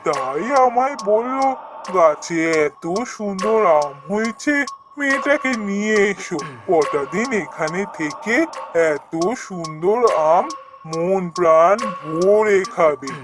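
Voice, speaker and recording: Man playing a wily village woodcutter, unhurried and theatrical. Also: high-pitched, animated, over music. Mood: friendly, coaxing. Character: wily male village woodcutter, feigning innocence. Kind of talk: cartoon fairy-tale dialogue.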